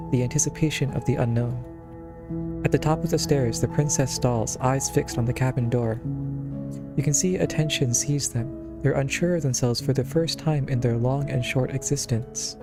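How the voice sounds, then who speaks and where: A man streaming a visual novel, reading its text aloud and commenting casually. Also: mid-range voice. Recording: clear game music behind the voice.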